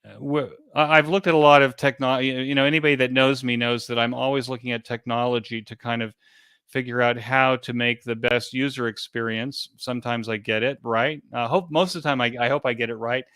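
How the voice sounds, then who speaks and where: A man speaking as an interview guest in a conversational way, explaining things hesitantly with frequent pauses and repeated words. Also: medium pitch, steady tone, fairly bright tone, clear, resonant tone, dry recording, studio quality. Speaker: interview guest, event organizer.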